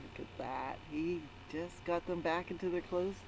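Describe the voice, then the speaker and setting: A woman reading a picture book aloud to children in an animated storytelling style, pausing to put questions to the listeners. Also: lowish voice, background noise. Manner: interactive, prompts listeners with questions. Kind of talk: animated read-aloud with asides to young listeners.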